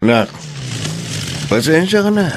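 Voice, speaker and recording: A man's voice: a little high, sing-song, slow, background noise. An actor playing a middle-aged, hard-drinking father in a Filipino radio drama, hot-tempered yet doting, colloquial in manner. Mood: tender, apologetic.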